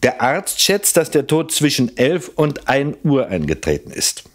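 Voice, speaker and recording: An actor playing a shrewd, persistent police inspector in a German radio crime drama, speaking formally and deliberately as he probes. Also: medium pitch, very animated. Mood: probing, methodical. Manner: probing, formal, pointedly deliberate.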